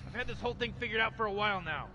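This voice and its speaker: A man's voice: high-pitched, very fast, dull audio, over music, natural voice. A man voicing a smug, rambling old mad scientist who brushes off every objection. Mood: scheming. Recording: noisy outdoor recording with traffic.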